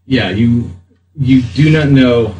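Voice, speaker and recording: A man improvising narration as game master of a tabletop game, halting and deliberate. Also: mid-range voice, dark tone, dull audio. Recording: roomy, distant mic, faint noise.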